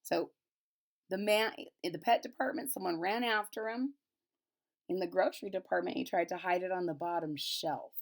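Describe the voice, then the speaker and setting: A woman's voice: on the low side, normal speed, gravelly. Woman reading a children's picture book aloud. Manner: explains and recaps simply for young listeners. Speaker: storybook reader. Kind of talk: children's picture-book read-aloud with retelling in plain words.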